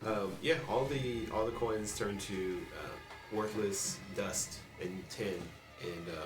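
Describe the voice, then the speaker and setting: A man narrating as game master in a relaxed, hesitant way with many fillers. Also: on the low side, takes it slowly, recorded from a distance. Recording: echoey room, mic from afar, music behind. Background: background music.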